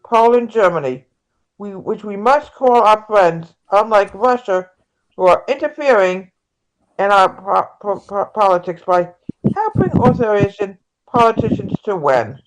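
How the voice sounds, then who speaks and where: A woman playing an earnest progressive presidential candidate, reading a campaign speech haltingly from a script. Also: medium pitch, expressive, close to an everyday voice, poor audio. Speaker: actor in an amateur table read.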